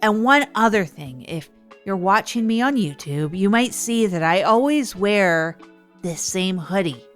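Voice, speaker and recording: A woman's voice: mid-range voice, animated, measured pace, faint music behind, natural voice. A woman playing an awkward, earnest small-town podcast host who rambles through comedic stories and digressions. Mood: chatty aside. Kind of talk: rambling comedic monologue storytelling.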